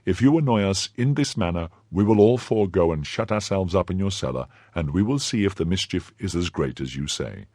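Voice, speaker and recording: Male narrator doing a brash young swordsman, brisk, commanding, animated. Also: low-pitched, no echo, studio quality. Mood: bold. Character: brash young musketeer, bold and forceful.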